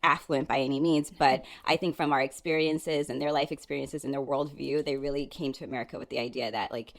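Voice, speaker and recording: Low-voiced woman telling her story. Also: fairly even intonation, brisk, creaky. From Brooklyn, New York. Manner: earnest storytelling, frequent "like" fillers. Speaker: podcast guest. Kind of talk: conversational interview.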